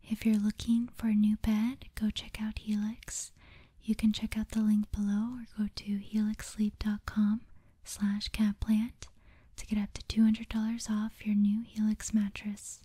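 A woman whispers softly and very slowly in a deeply mellow, breathy voice. Her delivery stays extremely even and soothing, in the style of an ASMR sleep meditation host. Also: no echo. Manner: slow, soothing, deliberate.

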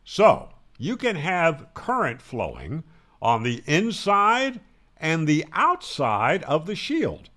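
Man in his sixties, American accent, slightly high-pitched, very varied intonation, conversational explainer, close mic. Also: a bit bright. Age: 60s. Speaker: host of a ham radio tutorial channel. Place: small home room with guitars, indoors. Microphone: close broadcast mic on a boom.

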